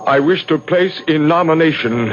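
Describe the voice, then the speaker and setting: A man playing a smooth, assured leader of a secretive club in a 1970s radio drama. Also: on the high side, moderate pace, highs cut off, faint music behind, close to an everyday voice. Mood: formal, solemn. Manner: reassuring, polished, formal when addressing superiors.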